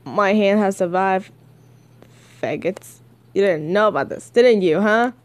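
A woman playing a cocky fourteen-year-old comic villain boy. He sounds blunt and sassy, with a slightly lowered pitch and a slow, defiant delivery. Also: expressive, natural voice.

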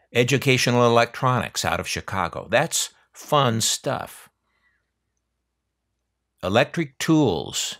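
A man's voice: on the low side, fairly bright tone. An older man reminiscing casually in a wry, folksy storytelling manner, drawing out phrases for emphasis. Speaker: narrator, vintage electronics collector. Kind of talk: casual reminiscing narration over catalog pages.

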